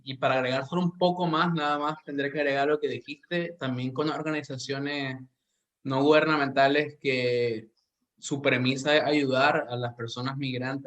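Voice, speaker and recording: A man's voice: mid-range voice, fairly even intonation, moderate pace, fairly bright tone. A man with a Central American Spanish accent, hosting a podcast and talking earnestly and reflectively, often restarting his sentences. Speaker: podcast host. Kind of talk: casual podcast conversation.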